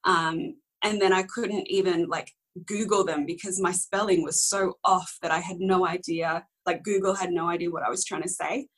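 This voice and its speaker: Candid low-voiced young woman. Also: steady tone, normal speed, hardly any pauses, a bit bright, husky, low-quality recording. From Australia. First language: Korean. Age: young adult. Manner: rambling, candid, many ums and self-corrections. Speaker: interview guest. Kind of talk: casual conversational storytelling.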